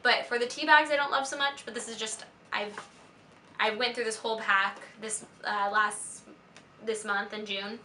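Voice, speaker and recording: A young American woman speaks in a fairly high, bright voice with a slight rasp. She chats casually like a lifestyle vlogger, gushing and stressing her points. The sound is echoey, as if in a room at home. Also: fairly even intonation, moderate pace. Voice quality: slightly raspy. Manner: gushing, informal, emphatic. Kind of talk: casual chatty product favorites video. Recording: roomy echo, faint background noise.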